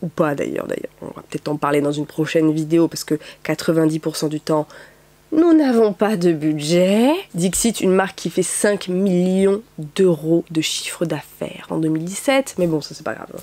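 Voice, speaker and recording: A woman's voice: lowish voice, moderate pace, mellow. Stuffy-nosed young woman vlogging. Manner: chatty, many "euh" fillers. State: has a cold, speaks through her nose. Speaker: YouTuber, content creator. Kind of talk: casual talk to camera. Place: at home, window open, rain outside.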